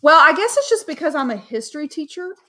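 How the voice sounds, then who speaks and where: A woman's voice: sits high, very animated, fast, sharp tone. A woman from Dallas, Texas, a paranormal investigator, chatting bubbly and casually with lots of fillers in an interview.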